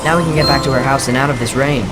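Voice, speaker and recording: Studious boy for a game adventure, played by a man; precise, earnest delivery over loud music. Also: mid-range voice, fairly even intonation, rapid-fire, close to an everyday voice, rough recording. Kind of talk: video game cutscene acting.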